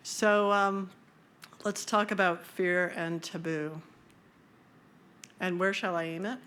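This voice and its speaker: Casual woman presenter at a science conference, loose and joking, lower register. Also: fairly even intonation, slow, a bit bright, slight background noise. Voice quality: high-pitched voice. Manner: casual, self-deprecating, many fillers. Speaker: conference speaker.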